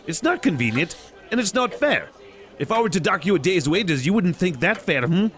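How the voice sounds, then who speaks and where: A man's voice: high voice, very animated, normal speed, a bit bright. A man playing a miserly, irritable businessman in an audio drama, curt and scornful of Christmas. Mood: irritable, grudging.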